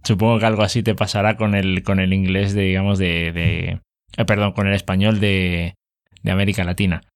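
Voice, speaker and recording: A man's voice: low-pitched, flat delivery, a little fast. A man with a Spain Spanish accent chatting casually as host, explaining things at length with many fillers. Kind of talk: casual conversation.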